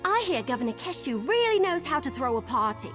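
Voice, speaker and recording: Young female game adventurer, high-pitched, very animated melody, eager, chatty, folksy, music behind. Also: a little fast. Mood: cheerful, chatty. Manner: bubbly, folksy exclamations. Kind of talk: video game character dialogue.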